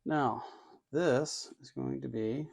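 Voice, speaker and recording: A man with a medium-pitched voice and plenty of vocal fry talks through hands-on work as a hobbyist host. His delivery is casual and unhurried. He thinks out loud, often half muttering to himself as he goes. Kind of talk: casual hands-on build narration.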